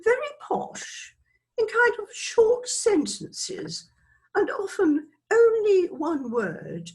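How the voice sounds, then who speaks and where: A woman's voice: very high voice, huge pitch swings, slow, exaggerated voice, poor audio. An actress playing a chatty, frivolous older British woman who rambles through her memories in a theatrical dramatic monologue. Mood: rambling, amused. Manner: rambling, frivolous, digressive, self-excusing. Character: chatty, scatty older Englishwoman named Isabella, reminiscing.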